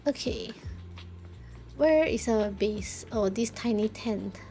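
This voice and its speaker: Unhurried woman streaming a game. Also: fairly high pitch, expressive, gravelly, warm tone, faint music behind. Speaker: streamer playing a story game. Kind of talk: casual game stream commentary, reading lines aloud.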